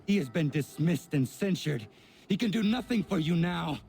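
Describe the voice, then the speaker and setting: An actor playing an earnest, stern young assassin in a video game, speaking gravely and formally with determination. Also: male voice, fairly high pitch, animated, moderate pace, darkish tone, a little hiss or hum. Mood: forceful, angry. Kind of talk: dramatic video game acting.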